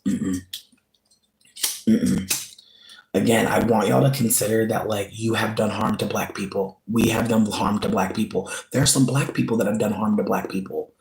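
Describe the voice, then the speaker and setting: A man's voice: lowish voice, fairly even intonation, normal speed, creaky, a little mellow, roomy sound, rough recording. A man hosting his own channel, talking straight to viewers in an earnest, pleading way and often repeating himself. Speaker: channel host. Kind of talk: direct-to-camera commentary.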